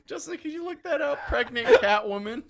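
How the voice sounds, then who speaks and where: A man's voice: very high-pitched, lively intonation, a little fast, gravelly, noisy background. A young man co-hosting a podcast in casual, crude banter, with a joking, foul-mouthed manner. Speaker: podcast co-host.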